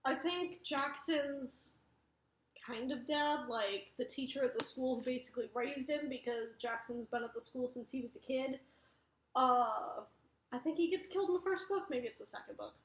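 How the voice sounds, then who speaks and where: A woman's voice: high-pitched, normal speed, slight background noise. A young woman with a mixed Wisconsin, New York and Arizona accent, casually chatting through a book review in a rambling way, correcting herself and filling gaps with "like". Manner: rambling, self-correcting, many fillers. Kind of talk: casual chat. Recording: echoey room.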